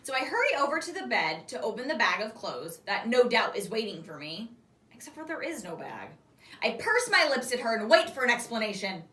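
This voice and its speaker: A woman's voice: fairly high pitch, big pitch swings, fairly quick, bright, forceful tone. A woman reading a children's book aloud expressively, in the style of a teacher. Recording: echoey room, distant microphone.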